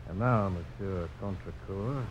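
A muffled old radio drama recording with clear background noise. a grim, resolute frontiersman, very deep and sparing with words, speaking in a deliberate, steady manner. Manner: terse, deliberate. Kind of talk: 1950s American radio drama acting.

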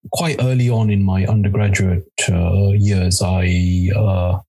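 A man's voice: low-pitched, steady tone, slow pace, dark tone, breathy edge. A middle-aged man from Kenya, a law academic answering questions thoughtfully, with frequent hesitations and restarts. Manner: hesitant, many restarts and fillers. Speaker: law academic, interview guest.

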